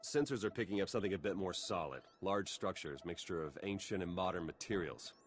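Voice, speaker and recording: A man's voice: low voice, fairly quick, slight background noise, close to an everyday voice. An actor playing a wry male spy and tech agent who talks in a dry, pragmatic way. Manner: dry, pragmatic, matter-of-fact.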